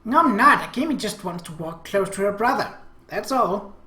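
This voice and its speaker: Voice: mid-range voice, lively intonation, normal speed, bright, forceful tone, pitched above the performer's own voice. A game commentator voicing a cheeky, teasing, provocative little sister from an anime visual novel. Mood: indignant. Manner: talks about herself in third person, provocative. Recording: echoey room.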